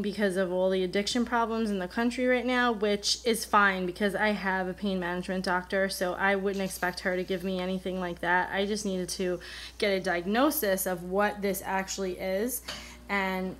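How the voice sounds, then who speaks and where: A woman's voice: mid-range voice, steady tone, normal speed, fairly bright tone, low-quality recording. An American woman with a slight cold, telling a personal story in a casual, candid, rambling way and acting out remembered dialogue. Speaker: vlogger, coloring channel host. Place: indoors at a table, coloring on camera.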